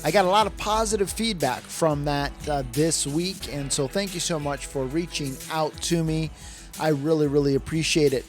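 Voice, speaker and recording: A man's voice: fairly high pitch, normal speed, soft background music. An American man hosting a podcast in a casual, chatty style, speaking straight to listeners in a folksy, emphatic way. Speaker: podcast host.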